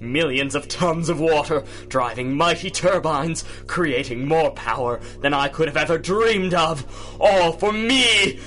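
An actor playing a gloating, theatrical megalomaniac supervillain in a 1930s-style radio drama. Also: male voice, fairly high pitch, a little slow, bright, forceful tone, soft background music. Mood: triumphant.

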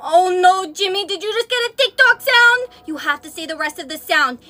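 Woman acting a high-pitched, frightened schoolgirl. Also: fairly even intonation, fast talker, pushed and bright, slight background noise. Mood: alarmed. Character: frightened, pleading schoolgirl in a melodramatic skit. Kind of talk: melodramatic comedy skit acting.

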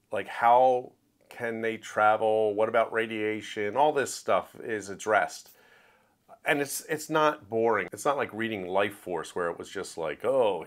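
A man with a Philadelphia accent giving a casual, conversational book review as a show host. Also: lowish voice. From Philadelphia, USA.

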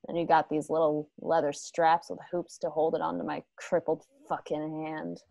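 A woman's voice: low-pitched, little pitch movement, moderate pace, gravelly, dark tone, no echo. An actress playing a hardened, blunt, vengeful young woman in a radio play. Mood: proud. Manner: blunt, foul-mouthed. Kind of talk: remote radio play acting.

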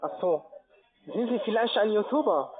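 Man, high voice, non-native speaker, halting phone agent, tinny narrow line. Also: expressive, breathy edge, soft background music. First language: not German. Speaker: call centre agent.

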